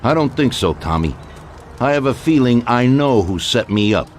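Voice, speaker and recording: An actor playing an older American mafia boss, genial but ruthless, talking in colloquial gangster style in a game cutscene. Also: male voice, medium pitch, animated, moderate pace, a little hiss or hum, lightly acted. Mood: grimly vengeful. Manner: conversational, colloquial gangster talk. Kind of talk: video game cutscene acting.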